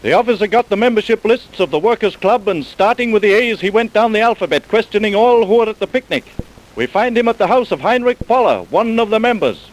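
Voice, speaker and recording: High-pitched old-time radio narrator, man. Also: lively intonation, fast, low-quality recording. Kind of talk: 1930s-40s radio drama narration, scripted. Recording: vintage radio broadcast recording.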